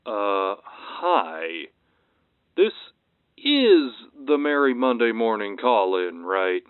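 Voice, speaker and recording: An actor playing a confused, deadpan male call-in listener who speaks hesitantly over a filtered phone line. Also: on the low side, very slow, clear, resonant tone, no echo, heavily acted. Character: slow, baffled male radio call-in listener. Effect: telephone filter. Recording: muffled, dull telephone line.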